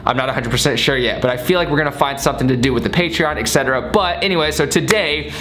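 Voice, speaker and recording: A man's voice: medium pitch, fast talker, fairly bright tone, slight background noise. A man in his twenties talking casually and energetically to the camera in a small home studio, gesturing as he speaks. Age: 20s. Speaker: vlogger. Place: small bedroom studio, indoors.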